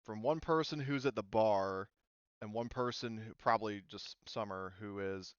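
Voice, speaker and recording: Male game master, halting narration with self-corrections, resonant tone, dry and slightly muffled recording. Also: on the low side, smooth, dry recording. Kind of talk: narrating a tabletop roleplaying game.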